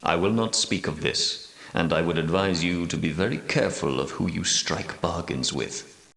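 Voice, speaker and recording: Solemn medieval knight leader, low forceful voice, archaic formal speech, prophetic authority, video game dialogue. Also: male voice, gravelly. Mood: grave warning. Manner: grave, formal, archaic, measured oratory. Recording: roomy echo, slightly distant from mic.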